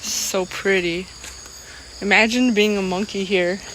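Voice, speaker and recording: A woman's voice: mid-range voice, steady tone, unhurried, fairly bright tone. Woman chatting casually amid noisy outdoor ambience. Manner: rambling, filler-heavy, repeats "like". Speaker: vlogger. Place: outdoors on a jungle trail. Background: insects and nature ambience.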